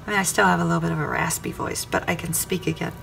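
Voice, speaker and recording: A woman's voice: on the low side, very fast, rough recording. A woman giving a weekly tarot reading, speaking straight to the viewer by star sign and circling back over her phrases. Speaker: tarot reader. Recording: clear background music under voice. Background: music playing under the voice.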